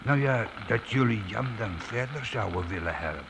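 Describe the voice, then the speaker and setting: A man playing a talkative, anxious medieval gatekeeper in a Dutch radio drama, speaking haltingly and earnestly with a gravelly voice. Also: lowish voice, expressive. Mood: hesitant pleading. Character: talkative, anxious, pleading medieval castle gatekeeper with big belly. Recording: radio play with faint background noise.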